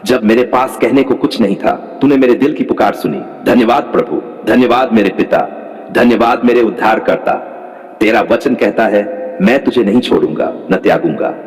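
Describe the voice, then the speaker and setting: A man's voice: medium pitch, moderate pace, darkish tone. A man leading a scripted devotional prayer, speaking fervently in rhythmic, repeated declarations. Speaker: prayer leader. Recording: long hall reverb.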